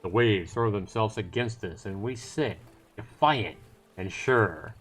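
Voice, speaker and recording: A man's voice: on the low side, slow. A man voicing a salesman-like seafaring trader who tells sea yarns in a theatrical, cajoling way over music. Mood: boastful, suspenseful. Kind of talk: dramatic storytelling.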